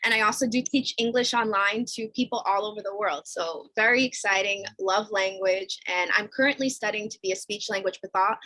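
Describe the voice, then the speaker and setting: A woman's voice: medium pitch, a little fast, few pauses, pushed and bright, poor audio. A young woman from New York City, chatty and informal, introducing herself as a guest author on a panel. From New York City, United States. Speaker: guest author and educational mentor.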